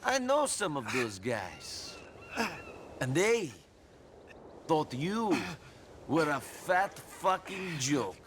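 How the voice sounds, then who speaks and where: Mocking game thug, drawn out and swooping all over, high voice. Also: male voice, very animated, drawn-out words, pauses often, fairly bright tone, a little hiss or hum, heavily acted. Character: slow, mocking, foul-mouthed male crime game character.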